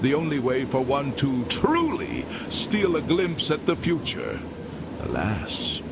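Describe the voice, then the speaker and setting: An actor playing an ancient, weary former priest damned to an endless abyss, speaking slowly and solemnly. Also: male voice, mid-range voice, creaky, very noisy, heavily acted. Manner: slow, solemn, drawn-out delivery. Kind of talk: game character dialogue. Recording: loud rain and thunder behind.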